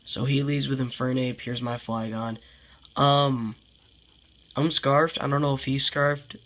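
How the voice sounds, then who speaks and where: A man's voice: mid-range voice, fairly even intonation, unhurried, a bit bright. A young man with a congested voice giving casual game commentary, thinking aloud, sighing often and sounding frustrated. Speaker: gameplay commentator.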